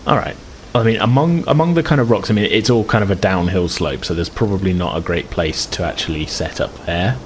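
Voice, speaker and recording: A man's voice: sits low, fairly quick, hardly any pauses. A man running a tabletop game as game master, improvising descriptions and often groping for words. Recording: clear background noise.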